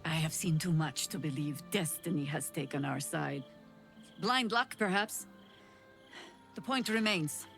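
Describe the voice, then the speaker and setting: A woman's voice: low voice, a little slow, gravelly, long pauses, fairly bright tone, full character voice. An actress playing a stern, weary, middle-aged adventurer in a fantasy video game, dry and scolding in her delivery. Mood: grave, reproachful. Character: stern, weary, exasperated veteran female adventurer. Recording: music behind the voice.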